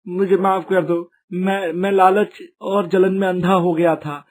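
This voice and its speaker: A man's voice: high voice, flat delivery, a little slow. A man playing a greedy, jealous street vendor who pleads remorsefully in a moral story. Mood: remorseful, pleading. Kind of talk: dramatised moral story acting.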